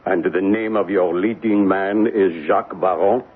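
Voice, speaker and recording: A man playing a grave, formal police inspector questioning deliberately, in old-time radio drama style. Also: low voice, breathy edge. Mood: grave, official. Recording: muffled phone-like old radio broadcast.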